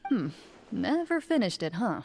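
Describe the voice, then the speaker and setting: A woman voicing a composed, stern veteran hunter and duelist in a video game, speaking in a measured, formal and firm way. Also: medium pitch, sing-song, vocal fry, a little mellow, husky, exaggerated voice. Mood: calm, firm.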